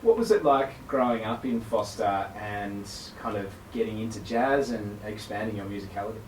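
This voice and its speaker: A man's voice: fairly low pitch, normal speed, roomy sound, far from the mic, a little hiss or hum, poor audio. A man hosting a regional radio show, chatting in an encouraging way and laughing easily. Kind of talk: casual radio interview.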